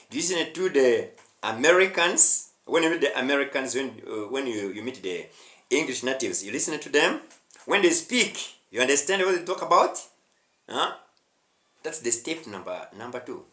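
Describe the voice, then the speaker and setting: A young man with a Rwandan accent leads an English lesson, pushing each point with emphasis and a lively, swooping delivery. His tone is bright and forward, and the sound bounces around an echoey room. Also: medium pitch. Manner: emphatic, checks in with "huh?" and "hmm?". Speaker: English teacher.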